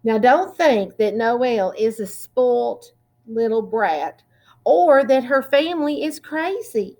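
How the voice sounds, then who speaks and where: A woman reading a children's picture book aloud in a deliberate, expressive way, drawing out words. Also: on the high side. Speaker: children's storytime reader.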